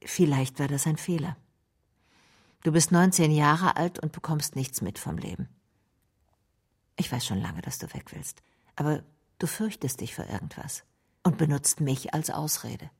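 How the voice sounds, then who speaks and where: An actress in a radio drama playing a tired, sickly middle-aged mother who speaks bluntly to her grown son, with a husky, creaky voice. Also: low voice, animated, measured pace, pauses often, heavily acted. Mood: weary reproach.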